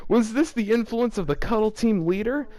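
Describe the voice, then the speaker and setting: A man with a slightly high voice reads a story aloud as host. His delivery is casual and full of his own commentary. He keeps stepping out of the text in amused, exasperated disbelief. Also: animated, moderate pace, darkish tone. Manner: breaks off often to react with exasperated, amused disbelief. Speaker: host reading fan fiction aloud for a video.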